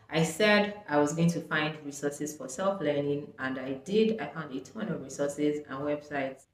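A woman's voice: sits low, a little mellow. Chatty young woman, roomy echo. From Nigeria. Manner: chatty, sprinkled with "like" and "um". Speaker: vlogger. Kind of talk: casual talk to camera. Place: small bright room indoors. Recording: echoey room.

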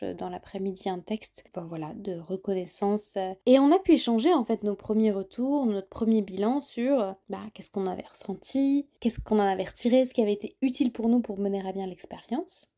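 Female French podcaster, warm relaxed tone, candid rambling solo talk. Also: mid-range voice. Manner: thinking aloud, many fillers and restarts. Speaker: podcast host.

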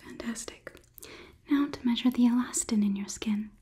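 A woman in her twenties playing a condescending, clinical female robot overlord in a soft whispered ASMR roleplay. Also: a little high, normal speed, slight background noise, close to an everyday voice. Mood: smug, clinical. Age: 20s. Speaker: ASMR performer. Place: small indoor studio set. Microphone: close microphone near camera.

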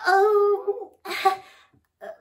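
A woman's voice: extremely high pitch, level tone, very slow, pitched above the performer's own voice, full character voice. Woman putting on a timid stammering little elephant. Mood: startled, hesitant. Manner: hesitant, stammering. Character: timid little male elephant, easily startled.

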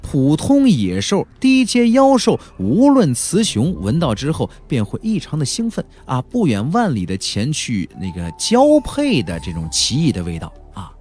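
A man narrating a fantasy novel as a dramatic audiobook storyteller, theatrical, with drawn-out emphasis and colloquial touches. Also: on the high side, wildly swooping pitch, darkish tone, breathy edge, faint music behind.

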